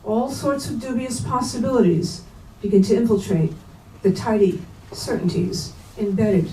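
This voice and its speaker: Roomy, mellow woman reading literary prose. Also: mid-range voice, moderate pace. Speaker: reader at a staged literary reading. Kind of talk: literary reading aloud, scripted narration. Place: indoor bookstore reading event. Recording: roomy, distant mic, faint noise.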